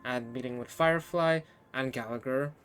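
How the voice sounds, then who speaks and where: A man's voice: mid-range voice, normal speed, fairly bright tone, a little hiss or hum. A man giving casual, off-the-cuff commentary on a game as he plays. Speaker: game streamer.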